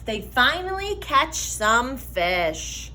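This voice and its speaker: A woman reading a children's picture book aloud in an animated, deliberate way for young listeners. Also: sits high, roomy sound, a little hiss or hum. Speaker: read-aloud storyteller for children.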